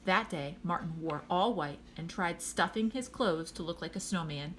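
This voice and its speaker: Lady reading a kids book out loud, expressive, slightly low voice, little bit of background noise. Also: normal speed. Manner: expressive, child-directed. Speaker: storybook reader. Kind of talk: expressive children's story read-aloud.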